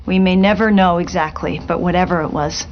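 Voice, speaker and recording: A woman playing a polished television reporter in a video game, delivering a grave special report in a measured, articulate way. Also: fairly low pitch, steady tone, highs cut off, slight background noise, lightly acted. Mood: grave, ominous.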